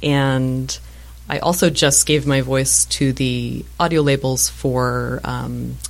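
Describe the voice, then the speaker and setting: A woman in her thirties with a low voice, answering interview questions in a relaxed, thoughtful way with frequent fillers and restarts. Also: takes it slowly, gravelly. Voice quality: low-pitched voice. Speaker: museum voice-over artist and guest.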